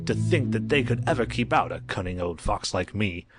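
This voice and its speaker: A man's voice: lowish voice, animated, normal speed, lightly acted. A man voicing a wicked, cunning old fox in a children's storybook, gloating and boastful. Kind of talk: children's storybook read-aloud. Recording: loud music under the voice.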